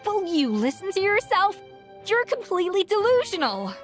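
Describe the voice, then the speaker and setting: An actress playing an indignant young heroine in a video game, commanding and incredulous in delivery. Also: female voice, very high-pitched, very animated, moderate pace, faint music behind. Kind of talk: dramatic video game voice acting.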